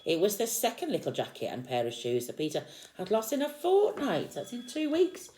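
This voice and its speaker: A woman reads a picture book aloud to young children like a teacher, expressively, with gently explained asides. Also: mid-range voice, wildly swooping pitch, moderate pace, roomy sound. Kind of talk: animated storybook read-aloud with explanatory asides.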